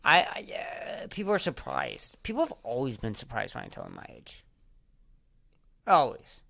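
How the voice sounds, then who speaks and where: A young man talking loosely on a podcast, swearing and circling back through his points with plenty of fillers. His voice sits at a middling pitch. The sound is dry and close to the mic. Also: wildly swooping pitch, normal speed, vocal fry, bright, forceful tone, no room sound. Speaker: podcast co-host. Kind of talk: casual chat.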